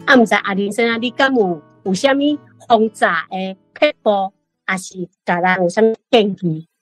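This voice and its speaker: A woman's voice: medium pitch, normal speed, dry recording, faint music behind. A woman with a Taiwanese accent asking an author for advice in a conversational way as a guest. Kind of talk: conversational questioning.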